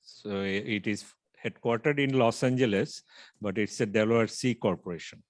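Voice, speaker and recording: Man pitching on a call. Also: no room sound. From Bangladesh. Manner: measured, explanatory, frequent filler pauses. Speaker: startup founder pitching. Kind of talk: online pitch presentation.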